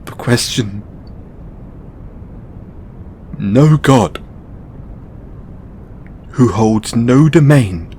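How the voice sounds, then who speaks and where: A man playing a weary, burdened god in an intimate audio roleplay, speaking haltingly with sighs and long pauses. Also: lowish voice, a little hiss or hum. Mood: anguished, bitter. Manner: halting, sighing, with drawn-out pauses. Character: weary, burdened male god confiding in his lover. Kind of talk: audio roleplay monologue, intimate dramatic acting.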